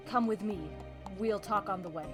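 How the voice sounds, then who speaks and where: A woman's voice: medium pitch, normal speed, lightly acted. Woman playing a regal, solemn pony princess over music. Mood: grave, troubled. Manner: formal, old-fashioned royal diction. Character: regal, worried pony princess of the night, archaic speech. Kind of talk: fan comic dub acting. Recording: music behind the voice.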